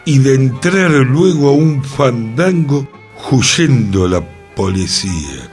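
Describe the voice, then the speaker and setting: A man reading a 1920s Buenos Aires drinker who recites rhyming verse about tango slowly and with emphasis. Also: medium pitch, very animated, darkish tone, soft background music, pitched above the performer's own voice. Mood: declaiming.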